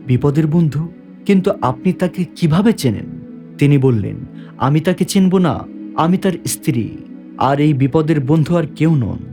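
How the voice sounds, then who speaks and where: A man's voice: on the high side, dark tone. A man narrating a scripted story as its narrator. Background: background music.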